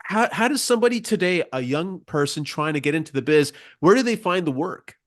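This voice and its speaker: A man's voice: a little high, animated, a little fast, fairly bright tone. A man from Canada hosting an interview podcast in a casual, informal and appreciative way.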